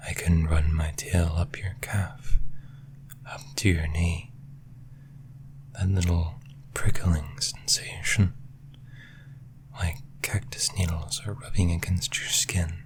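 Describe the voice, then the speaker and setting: Man whispering as a very deep, possessive demon. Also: little pitch movement. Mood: mocking, teasing. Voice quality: breathy, whispered, very low. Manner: slow, menacing, teasing. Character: possessive, taunting yandere sleep paralysis demon with a tail. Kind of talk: whispered ASMR roleplay.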